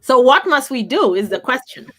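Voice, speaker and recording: A woman's voice: high voice, big pitch swings, moderate pace, pushed and bright. A woman hosting a conversational discussion, reading out audience comments and giving her opinions with pointed questions.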